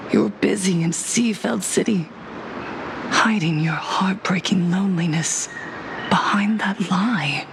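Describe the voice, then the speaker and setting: A woman's voice: lowish voice, a little slow, gravelly, noisy background. An actress playing a fervent woman cult preacher in a game cutscene, impassioned and sermon-like, sinking to whispers, with music behind her. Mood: zealous. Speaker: cult leader preaching to a crowd.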